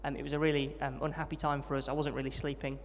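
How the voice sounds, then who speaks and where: A young man recounts his upbringing at a brisk pace and a slightly raised pitch. He is friendly and self-deprecating, with plenty of ums. His voice rings with the long reverb of a large hall. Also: level tone, slight background noise. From Yorkshire, England. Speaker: interviewee, trainee vicar. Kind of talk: testimony interview at a student event.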